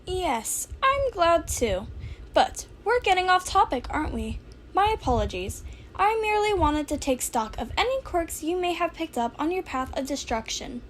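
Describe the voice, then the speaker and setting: A small, chirpy male school principal, acted out by a woman narrator in an audiobook. The voice is very high and speaks in formal, polite phrases. The home recording has clear background noise. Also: sing-song, normal speed. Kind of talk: audiobook character voice for fan fiction.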